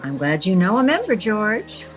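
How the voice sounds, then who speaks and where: A woman playing a poised, smitten newspaperwoman in an old-time radio romance, measured, tender and ladylike. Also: mid-range voice, wildly swooping pitch, faint music behind. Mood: dreamy. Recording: video-call radio play recording.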